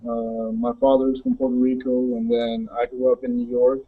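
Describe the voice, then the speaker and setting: A young adult man from the Dominican Republic, a music producer answering interview questions in a halting way with many fillers. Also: mid-range voice, little pitch movement, narrow, tinny audio.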